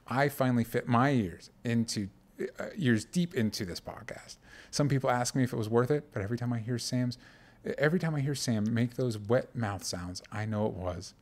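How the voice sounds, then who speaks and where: A young man co-hosting a podcast, talking in casual, comedic banter. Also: medium pitch. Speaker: podcast co-host.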